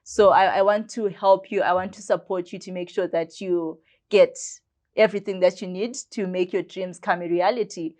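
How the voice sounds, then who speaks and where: A woman's voice: lowish voice, fairly even intonation, normal speed, a little mellow. A young woman of about twenty, chatting as an interview guest and telling her story with plenty of "like" fillers. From Zimbabwe. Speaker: guest, biomedicine student. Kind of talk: casual storytelling in conversation.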